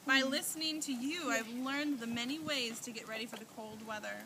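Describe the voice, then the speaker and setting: A woman's voice: on the high side, fairly quick, natural voice. A woman voicing a wise, kindly old female oak tree in a children's puppet show. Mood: kindly, unhurried. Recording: very noisy amateur room recording.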